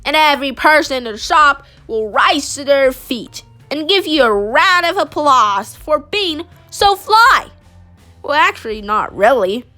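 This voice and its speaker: A woman reading a children's picture book aloud in an expressive, animated way, with dramatic emphasis on key phrases. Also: high voice, a little slow, faint music behind. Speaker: storybook reader.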